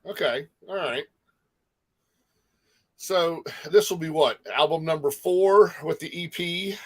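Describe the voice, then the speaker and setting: A man's voice: fairly high pitch, takes it slowly. A man hosting a casual music interview, talking in an informal, rambling, encouraging way. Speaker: talk show host interviewing a musician.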